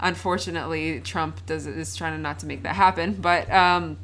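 A woman's voice: deep voice, steady tone, moderate pace, a bit bright. Earnest young woman from Palestine. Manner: earnest, thoughtful, frequent fillers. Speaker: podcast guest. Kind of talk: casual interview conversation.

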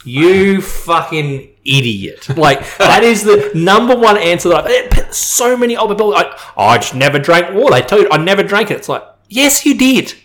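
A man's voice: high-pitched, very animated, normal speed, hardly any pauses, a bit bright. A man co-hosting a film podcast, ranting bluntly and swearing in casual chat. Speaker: podcast co-host.

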